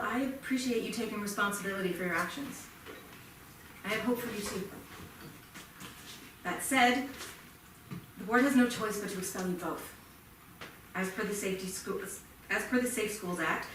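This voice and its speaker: A woman's voice: mid-range voice, normal speed, long pauses, lightly acted. A woman in a staged reading playing a firm, composed school board chair who speaks formally and with authority. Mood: firm, regretful. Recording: very echoey theatre, distant mic, noisy.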